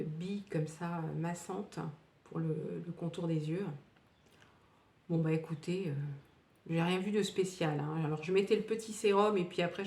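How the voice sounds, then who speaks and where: A woman in her fifties chats at length about used-up beauty products, trailing off into fillers and restarting her sentences. Her voice sits low for a woman. She is recorded from a distance in a room with a noticeable echo. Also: a little mellow. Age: 50s. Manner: chatty, rambling, many fillers and restarts. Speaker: beauty vlogger. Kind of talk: casual product review. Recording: echoey room, distant from the mic.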